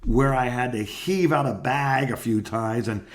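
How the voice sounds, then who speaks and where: A middle-aged man talks as a co-host on a casual show. His voice sits at an ordinary pitch. He reminisces in loose, rambling stories, as in an informal conversation. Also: measured pace. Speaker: podcast co-host, former radio host.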